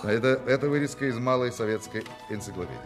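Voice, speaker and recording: An actor playing a witty, self-assured con artist in a radio play, with an ironic, theatrical and commanding manner. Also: male voice, medium pitch, quick pace, natural voice. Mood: wistful, ironic. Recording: radio play with music behind.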